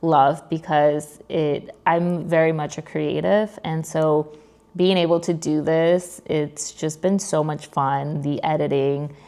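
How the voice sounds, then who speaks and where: Low-pitched New woman with creaky voice. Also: little pitch movement, slow, warm tone. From New York. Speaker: vlogger, content creator. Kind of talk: casual personal vlog, chatty Q&A to camera.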